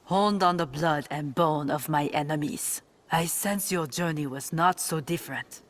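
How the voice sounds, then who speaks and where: A battle-hardened female weapons master in a video game. Her voice is very low for a woman, solemn and commanding. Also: measured pace. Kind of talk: video game cutscene acting.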